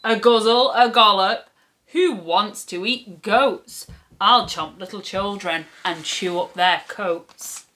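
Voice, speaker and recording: A greedy storybook troll, played by a woman, ravenous for children. The delivery is slow and forceful, savouring each word in bouncy rhyme with grunts and growls. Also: mid-range voice. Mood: gloating chant. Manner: gobbling, relishing, grunting and growling. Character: greedy, hungry troll who relishes eating children. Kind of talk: rhyming children's storybook character voice.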